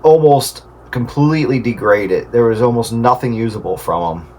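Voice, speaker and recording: A middle-aged man in casual radio chat, explaining things in a halting way. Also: medium pitch. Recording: clear steady background noise.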